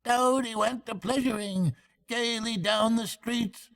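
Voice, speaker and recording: A grandfather doing a smug, squeaky weasel speechmaker. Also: steady tone, slow, vocal fry, a bit bright, full character voice. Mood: gleeful singing. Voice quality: high, squeaky. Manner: mock-pompous oratory.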